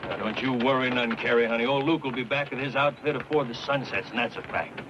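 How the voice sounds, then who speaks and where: A man's voice: medium pitch, quick pace, close to an everyday voice. An actor playing a reassuring, homespun pioneer husband and father in a western radio drama, with a folksy frontier dialect. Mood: tender, reassuring. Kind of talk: old-time western radio drama acting. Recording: dull, very noisy old radio recording.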